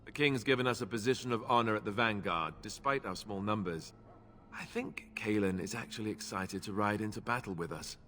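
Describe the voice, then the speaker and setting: A man acts out an earnest young warrior of a knightly order for a video game. His voice is on the low side and forward, with a bright edge. He explains things in a measured, self-deprecating way, and a long reverb hangs around him. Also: slight background noise, lightly acted. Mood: earnest, explaining.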